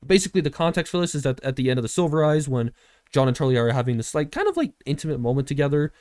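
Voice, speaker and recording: A man's voice: a little high, big pitch swings, rapid-fire, darkish tone, clear, resonant tone, no room sound, studio quality. A young man hosting a casual project update, talking in an informal, rambling way peppered with filler words. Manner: informal, rambling, heavy use of "like". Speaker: filmmaker and host.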